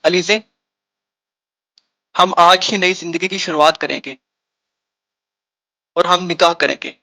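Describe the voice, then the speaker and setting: A man's voice: high voice, steady tone, slow, sharp tone, exaggerated voice. A man acting an earnest young man in love in a romantic drama, pleading and declaiming his devotion.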